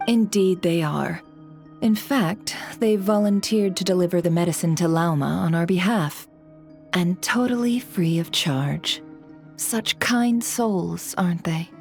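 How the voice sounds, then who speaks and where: Low female voice, suave game schemer, sly, measured, polite, music behind. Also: gravelly, darkish tone. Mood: teasing. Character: suave, scheming woman who runs an intelligence business. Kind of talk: video game cutscene acting.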